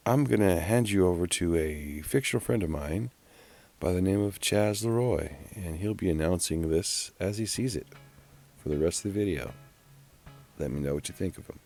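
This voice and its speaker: Casting: male outdoor-channel host, deep creaky voice, informal narration. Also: expressive, moderate pace, a little mellow, dry recording, soft background music. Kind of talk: casual vlog narration.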